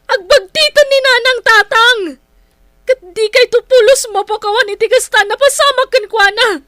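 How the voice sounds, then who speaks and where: A woman's voice: extremely high pitch, normal speed, bright, forceful tone, no echo, studio quality. A woman playing a dutiful, long-suffering, outspoken eldest daughter in an earnest, emotional radio drama style. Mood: upset, reproachful. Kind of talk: Ilocano radio drama acting.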